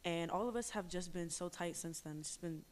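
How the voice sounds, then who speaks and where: A woman from the Bronx who sings in a girl group, answering interview questions softly and quietly. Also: on the low side, fairly quick, slight background noise. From the Bronx, New York. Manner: soft-spoken, quiet. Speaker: singer in a girl group, guest.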